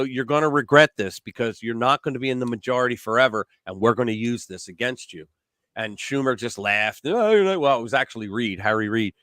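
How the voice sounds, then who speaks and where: A man hosting a loose political chat show, circling back and repeating himself as he works through a point. His voice is recorded dry and close, with no room sound. His pitch sits in the middle range for a man. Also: sharp tone, no echo. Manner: rambling, repeats words while building a point. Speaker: host of a political call-in show.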